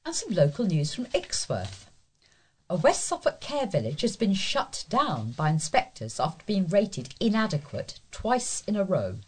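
A woman's voice: mid-range voice, very animated, unhurried, breathy edge. A woman reading scripted local news aloud as a volunteer news reader.

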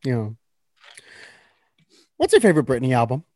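A man's voice: medium pitch, wildly swooping pitch, normal speed, darkish tone, dry recording, studio quality. A man casually talking through pop culture gossip, slangy, blunt and opinionated.

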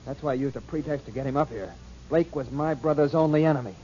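A man's voice: on the high side, fast talker, a little hiss or hum, natural voice. An actor playing a hot-tempered, grieving man out for revenge in a 1940s radio drama, on a muffled old broadcast. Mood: bitter. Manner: angry, forceful.

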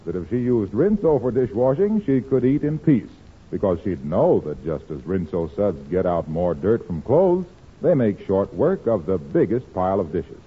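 Old timey radio announcer guy, salesy and swoopy, rough husky sound on a tinny old recording. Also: on the low side. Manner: polished, emphatic salesman delivery. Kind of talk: 1940s radio ad read and scripted narration. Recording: muffled scratchy old-time radio recording.

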